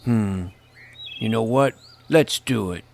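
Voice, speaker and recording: A man's voice: lowish voice, takes it slowly, gravelly, a little mellow, a little hiss or hum. A man playing a naive, indignant role-player posing as a druid in a fantasy audio drama, mixing mock-archaic pomposity with plain petulant talk. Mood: curious, determined.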